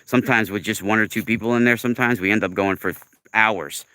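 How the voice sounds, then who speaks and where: A man's voice: lowish voice, steady tone, fast, sharp tone, clean tone. A man in his forties hosting a casual livestream monologue, talking in an earnest, emphatic, rambling way. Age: 40s. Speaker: livestream host.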